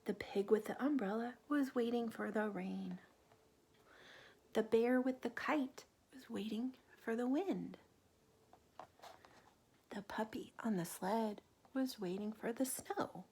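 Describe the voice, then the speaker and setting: Slow, higher-voiced woman reading to children. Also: husky. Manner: gentle, pausing to ask questions and point things out. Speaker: teacher reading a picture book aloud to children. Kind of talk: children's read-aloud with questions to the listener.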